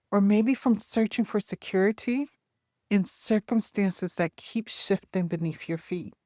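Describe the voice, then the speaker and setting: A woman narrating a soothing guided meditation in a gentle, deliberate way, leaving long pauses between phrases. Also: fairly low pitch, no echo, clean studio sound.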